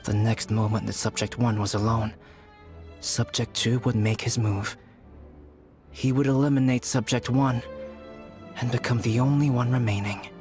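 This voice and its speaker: An actor playing a calm, pensive young alchemist in a game, speaking in a grave, deliberate, storytelling way over music. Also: male voice, medium pitch, lightly acted. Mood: measured, ominous. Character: calm, pensive young male alchemist telling a dark tale. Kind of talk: measured game dialogue storytelling. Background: background music.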